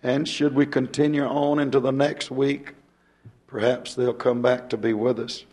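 A hoarse male pastor, talking folksy and rambling, then reading scripture in a rising preaching cadence. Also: medium pitch, level tone, moderate pace, a little mellow.

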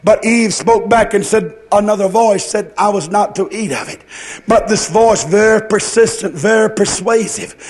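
A male preacher with a very high-pitched voice delivers a fervent revivalist sermon. He declaims in a sing-song cadence, circling back on phrases for emphasis and pressing rhetorical questions on his listeners. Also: normal speed.

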